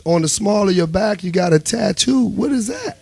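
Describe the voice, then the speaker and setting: Higher-pitched man in a dry studio. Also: animated, moderate pace, gravelly, warm tone, slightly rough, no room sound. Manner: conversational, repeats phrases for emphasis. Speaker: musician and bandleader being interviewed. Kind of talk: casual radio interview.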